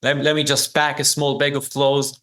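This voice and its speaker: A man's voice: mid-range voice, completely flat delivery, normal speed, bright, forceful tone. A man in his forties with an Israeli accent, a native Hebrew speaker, telling his story conversationally as an interview guest. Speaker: podcast guest, business founder.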